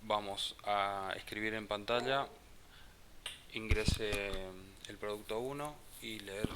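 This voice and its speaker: A man teaching a programming class, explaining code slowly and thinking aloud as he types. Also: lowish voice. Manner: slow, halting, thinks aloud with restarts. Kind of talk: live coding class explanation. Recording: faint hiss.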